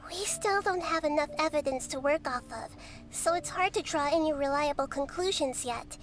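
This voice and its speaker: A woman voicing a youthful, wise female goddess in a video game, speaking in a calm, measured and formal way. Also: sits high, close to an everyday voice. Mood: concerned. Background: game music.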